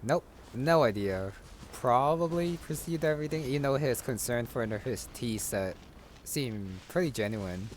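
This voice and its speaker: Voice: medium pitch, animated, measured pace, slight background noise. A video game player giving casual, offhand commentary while playing.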